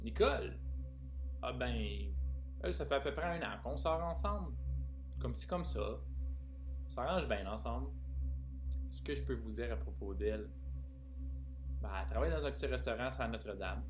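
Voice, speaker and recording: A man's voice: mid-range voice, animated, moderate pace, pauses often, over music, lightly acted. A man reading the part of a teenage boy who dreams of university, speaking offhandedly to the audience. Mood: musing. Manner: offhand, telling the audience about his life. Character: teenage boy about to finish school, a dreamer. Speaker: reader in a staged play reading. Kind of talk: theatre reading of a monologue.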